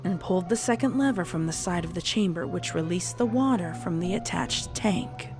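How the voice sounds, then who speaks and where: A woman narrates a scripted story in a dramatic style. Her voice is on the low side for a woman. Music plays clearly behind her. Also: lively intonation, normal speed.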